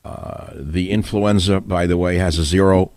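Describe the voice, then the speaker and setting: Deep-voiced man hosting talk radio. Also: steady tone, moderate pace, dry recording, studio quality. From New York. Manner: forceful, rambling and emphatic. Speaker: radio talk show host.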